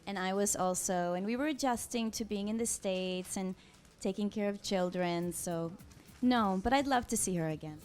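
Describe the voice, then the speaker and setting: A soft-spoken woman in her thirties talks through her answers with easy pauses and gentle hesitations. Her voice sits at a middle pitch, and faint music hums underneath. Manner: soft-spoken, thoughtful, with frequent pauses and ums. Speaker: interview guest. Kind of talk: casual interview answers.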